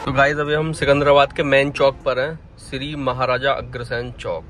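A man's voice: mid-range voice, flat delivery, moderate pace, a bit bright. A man in his thirties from India, chatting to viewers in a casual travel vlog, filmed on a phone at arm's length in a car, cafe and mall. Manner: chatty, addresses viewers directly. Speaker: vlogger.

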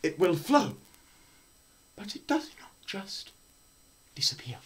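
A young man gives a theatrical lecture reading in a slow, deliberate pace. His pitch leaps around widely and his delivery is melodramatic, moving between hushed murmurs and loud cries. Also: very animated. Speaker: presenter performing a dramatised physics lecture. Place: small plain room indoors.

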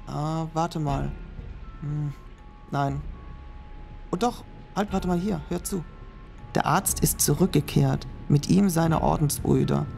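A man's voice: on the high side, slow pace, gravelly, long pauses, a little mellow, soft background music. A young man playing a cautious student who reads old notes aloud, in an audio drama. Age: young adult. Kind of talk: amateur audio drama acting.

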